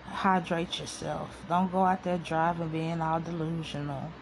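A woman hosting a casual solo podcast, talking into her phone with a soft voice. She rambles to her listeners in an informal, slangy way. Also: low-pitched, level tone, measured pace, creaky, background noise. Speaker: podcast host. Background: guitar music.

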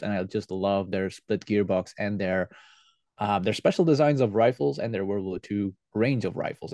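Mellow, low-voiced man. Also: dry recording, studio quality. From Belgium. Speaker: podcast guest, airsoft team leader. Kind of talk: conversational interview answers.

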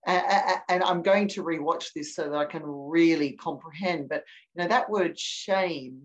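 A woman host whose accent sits between English and Australian, speaking in a somewhat low voice. She runs the interview with encouraging, attentive responses and a tidy introduction. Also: normal speed. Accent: mixed English and Australian. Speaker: podcast host. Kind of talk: hosted interview.

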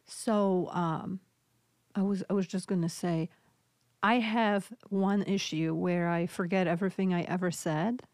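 A woman's voice: lowish voice, fairly even intonation, measured pace, a little mellow, dry recording, studio quality. Polish-accented woman hosting a podcast. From Poland. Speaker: podcast host. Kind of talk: casual interview chat.

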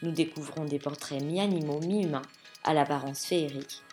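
Female podcast host, low register, polished scripted narration. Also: brisk, soft background music. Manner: poised, even read-aloud delivery.

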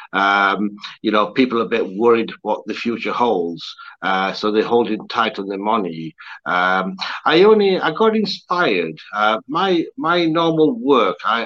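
A man's voice: on the low side, fairly bright tone, lo-fi sound. Rambling Bradford man with an Italian touch. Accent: Bradford English with an Italian touch. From Bradford, England. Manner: rambling, filler-heavy, repeats words while searching. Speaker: interview guest, events organizer and TV presenter. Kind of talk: casual conversational interview.

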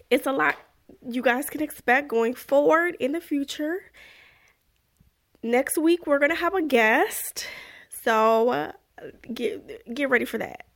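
Slow-talking, chatty congested woman podcaster. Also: high voice, creaky. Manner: chatty, animated, self-deprecating, exclaims "woo, child". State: still a little congested. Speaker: podcast host. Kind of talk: casual podcast chat and story reading.

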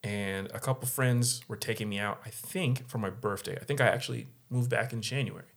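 A man co-hosting a casual podcast, telling a story in an animated way and acting out the people in it. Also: fairly low pitch, a little fast, vocal fry. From San Diego area. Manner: animated, acts out quoted voices. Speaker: podcast co-host.

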